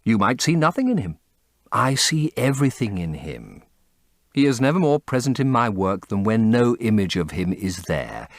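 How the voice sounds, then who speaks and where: Man acting impassioned painter, animated voice. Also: fairly low pitch, moderate pace, no room sound, clean studio sound. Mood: earnest. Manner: impassioned, rhapsodic, confessional. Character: earnest, intense male painter, devoted and anguished. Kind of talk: dramatic audiobook character acting.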